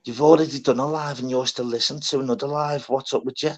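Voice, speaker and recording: A man's voice: mid-range voice, fairly even intonation, quick pace. A man hosting a live stream panel, chatting casually and often calling people "lad". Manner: chatty, fond of saying "lad". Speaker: host.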